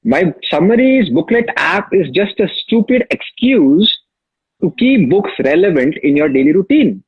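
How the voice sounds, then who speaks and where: A man's voice: high voice, very animated, moderate pace, breathy edge, no room sound. A man from Maharashtra with a Marathi-accented Indian English, telling his story emphatically as an interview guest. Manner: emphatic, storytelling, repeats key phrases. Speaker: interview guest, app founder. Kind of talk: casual interview talk. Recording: dull lo-fi sound.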